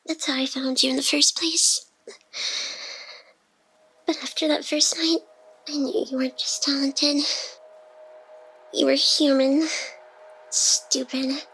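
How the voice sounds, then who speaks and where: Wounded, devoted AI hacker girl, played by a woman: high-pitched, breathy, teasing, halting, whisper-prone, close ASMR roleplay delivery. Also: flat delivery, fairly bright tone, full character voice, low-quality recording. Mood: urgent, intimate. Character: playful, wounded young female AI hacker, secretly devoted. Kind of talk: intimate ASMR roleplay acting.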